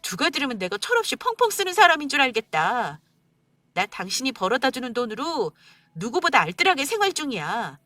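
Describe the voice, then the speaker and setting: A woman voicing a hurt wife who argues back, tearful and indignant, her voice breaking high. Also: big pitch swings, normal speed, a bit bright, dry recording. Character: hurt young wife pleading and arguing back.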